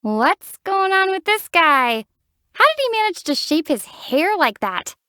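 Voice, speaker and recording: An actress voicing a calm, wry young female traveler hero in a video game, giving dry teasing explanations and sharp battle shouts. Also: extremely high pitch, a little slow, dry recording, heavily acted. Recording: dry close studio voice.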